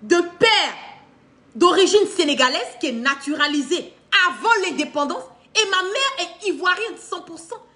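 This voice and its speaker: Ivorian lady ranting politics on a livestream, super high voice, really animated, repeats stuff to drive it home. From Ivory Coast. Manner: emphatic, repeats phrases for stress, animated with hand gestures. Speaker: political commentator on a live stream. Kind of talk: impassioned, unscripted monologue to camera. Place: indoor living room.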